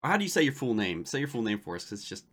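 A man's voice: mid-range voice, sing-song, very fast, a bit bright. A man hosting a podcast, friendly and enthusiastic, stumbling a bit as he talks. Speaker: podcast host. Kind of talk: casual interview talk.

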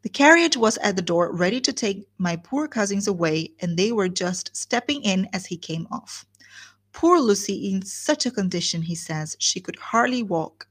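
A woman's voice: mid-range voice, lively intonation, normal speed, a bit bright, breathy edge. A woman reading aloud as a gossipy, chattering older woman who breathlessly retells news. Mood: gossiping. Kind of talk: reading a classic novel aloud.

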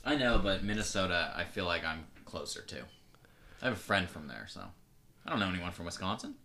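A man hosting a casual podcast from an attic, teasing and quick to push back on what his co-host says. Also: low-pitched, expressive, pushed and bright, slight background noise. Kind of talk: casual chat.

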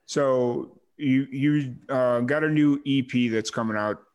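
A man hosting a music interview show, speaking in a relaxed way full of likes and you-knows. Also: mid-range voice, takes it slowly, lo-fi sound. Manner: relaxed, filler-heavy, uses like and you know. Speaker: podcast host. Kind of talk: casual interview chat.

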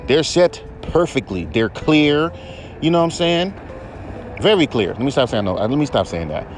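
A man with a baritone voice hosting a podcast, ranting in a heated, profane, rambling way. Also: sing-song, normal speed, faint music behind. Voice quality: deep baritone voice. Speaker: podcast host. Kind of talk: casual podcast rant.

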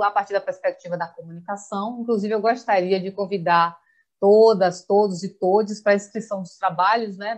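A woman's voice: medium pitch, a little mellow. A woman with a Brazilian accent informally introducing an online panel as moderator, often adding little tag questions. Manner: conversational, frequent tag questions.

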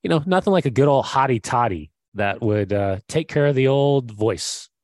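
A man co-hosting a podcast while sick and losing his voice, telling stories in an animated way with fillers. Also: mid-range voice, normal speed, no echo, clean studio sound. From United States. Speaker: podcast co-host. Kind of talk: casual podcast chat.

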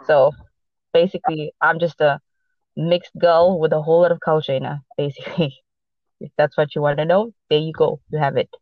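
A woman's voice: low voice, flat delivery, no room sound. Woman with a mixed Portuguese-South African accent, lo-fi. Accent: mixed Portuguese, South African and American. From mixed upbringing: Boston, Los Angeles and South Africa. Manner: halting, many fillers and restarts. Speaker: podcast co-host. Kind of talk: casual sports podcast chat.

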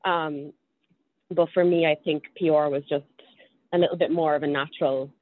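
A young Irish woman with a low voice talks through an interview, chatty and full of ums as she works out her thoughts. Her voice comes over a thin, tinny line that sounds like a phone call. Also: steady tone, moderate pace. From Ireland. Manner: chatty, thinks aloud with frequent ums. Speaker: guest. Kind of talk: casual interview conversation.

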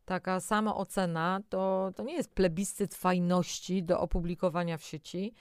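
Female dietitian co-host: smooth, fairly low voice, straight-talking, chatty advice, dry close sound. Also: steady tone, moderate pace, no room sound. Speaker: dietitian co-hosting a health programme. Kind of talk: conversational advice to the listener.

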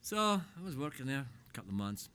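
An older man telling his life story in a casual chat, rambling through animated anecdotes with plenty of swearing. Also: medium pitch, fairly quick, clear, resonant tone.

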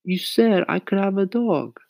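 A man's voice: sits high, animated, a little slow, vocal fry, a little mellow, no room sound, studio quality, voice pushed up, full character voice. A man voicing an earnest, eager young boy in a storybook, with a pleading manner. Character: eager earnest young boy who loves his pet. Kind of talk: acted storybook reading.

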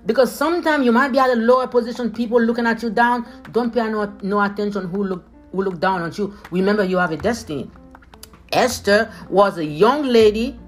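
Haitian-accented woman, motivational podcast host, emphatic and repetitive, slightly high pitch, faint music bed. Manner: emphatic, repeats phrases, addresses listeners as leaders. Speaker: motivational speaker.

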